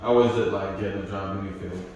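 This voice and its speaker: A man from Nigeria hosts a relaxed interview, speaking at an average pitch and nodding along with repeated "okay, okay". His voice sounds distant, in a room with a long echo and faint hiss. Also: fast, muffled recording. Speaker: host. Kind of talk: casual interview conversation. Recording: echoey room, mic far away.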